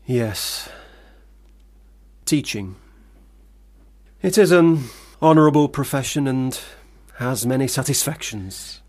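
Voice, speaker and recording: An actor playing an earnest, bookish young son in a radio play, formal and deferential. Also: male voice, mid-range voice, lively intonation, slow pace, pauses often, a little mellow. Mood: subdued, resigned.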